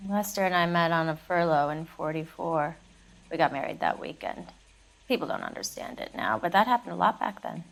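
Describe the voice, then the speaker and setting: An actress playing a hard-bitten, defensive 1940s American widow in a video game, curt, dry and unsentimental. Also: female voice, lowish voice, expressive, a little fast, gravelly, poor audio. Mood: cold, defensive. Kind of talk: dramatic video game acting.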